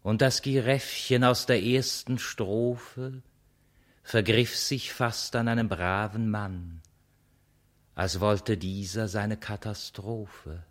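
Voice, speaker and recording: A man playing a grave poet who recites mock-heroic verse in a measured, declamatory manner for a radio play. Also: fairly low pitch, steady tone. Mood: solemn, declamatory.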